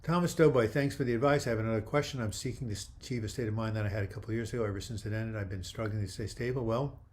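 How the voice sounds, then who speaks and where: An older man hosting a live video chat, reading viewer comments aloud and replying in a friendly, halting way with frequent restarts. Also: lowish voice, a little mellow. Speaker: livestream host answering viewer comments.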